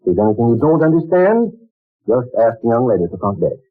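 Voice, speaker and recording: An actor playing a courteous, fussy old company boss who speaks in hesitant, old-fashioned formal phrases, in a 1940s radio drama. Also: male voice, mid-range voice, sing-song, fast talker, dry recording, soft background music. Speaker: office manager interviewing a typist. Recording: tinny old radio broadcast, dry.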